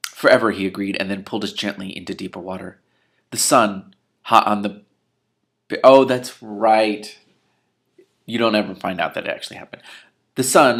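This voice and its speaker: A man's voice: lowish voice, creaky, a bit bright. A man reading a novel aloud as host, breaking into casual, sarcastic and irreverent commentary. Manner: sarcastic, irreverent, incredulous outbursts between read passages.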